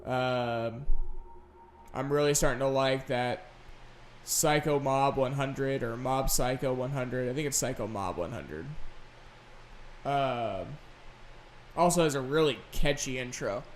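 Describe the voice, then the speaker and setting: A man hosting a solo podcast, chatting casually and unscripted in a rambling, halting way. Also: medium pitch, a little hiss or hum. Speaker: podcast host and gaming video creator.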